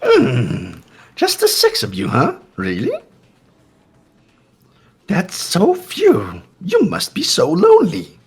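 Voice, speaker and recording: A man's voice: high-pitched, wildly swooping pitch, takes it slowly, vocal fry, pauses often, slightly rough, faint music behind, heavily acted. A man voicing a mocking, sadistic bear headmaster in a fan-voiced game playthrough. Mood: taunting. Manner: mocking, sing-song, taunting. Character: gleeful sadistic bear headmaster running a deadly trial.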